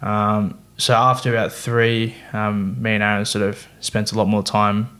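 A man's voice: lowish voice, level tone, normal speed, fairly bright tone. A young man, a podcast guest, talking casually and rambling through fillers and restarts. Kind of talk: casual chat.

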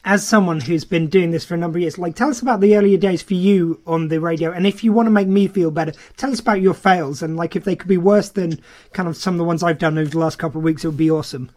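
A man with a high-pitched voice hosts a radio chat. He speaks informally and encouragingly, rambling a little as he casually leads the interview. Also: fast, a little mellow. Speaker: radio show host.

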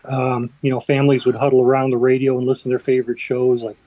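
Brief: man as a radio guest, middle pitch, dry studio mic, eager and rambling. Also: completely flat delivery, fast talker, warm tone, dry recording, rough recording. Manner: chatty, explains with fillers and restarts. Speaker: theater company vice president, guest. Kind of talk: radio interview.

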